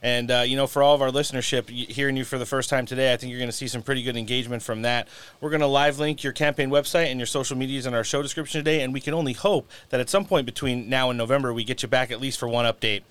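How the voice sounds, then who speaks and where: A man's voice: mid-range voice, fairly even intonation, racing, fairly bright tone, clear, resonant tone. A man hosting a political talk show, speaking loosely with lots of "you know.". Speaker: podcast host.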